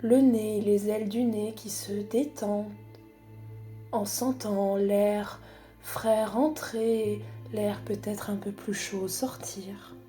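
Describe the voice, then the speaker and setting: A woman guiding a body scan meditation in a calm, unhurried, soothing manner with long pauses. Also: mid-range voice, soft background music. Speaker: psychologist guiding a relaxation exercise.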